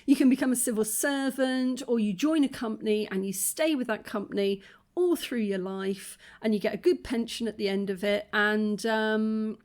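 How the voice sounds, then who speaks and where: Woman, croaky voice, high-pitched, outspoken and indignant, casual reaction commentary. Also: moderate pace, darkish tone. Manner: outspoken, indignant, many fillers. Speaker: reaction video host.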